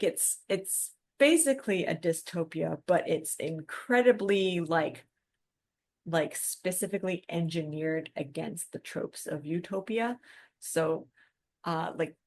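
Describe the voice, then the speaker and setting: Lower-pitched woman explaining ideas informally. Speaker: podcast co-host. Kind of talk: literary discussion, casual with quoted passages.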